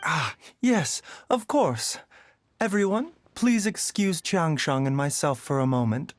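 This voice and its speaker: An actor playing a gentle, courteous male doctor in a video game, speaking politely in a measured, soft-spoken way. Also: fairly high pitch, animated, no echo. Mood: polite, composed. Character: gentle, courteous adult male doctor hiding his frailty.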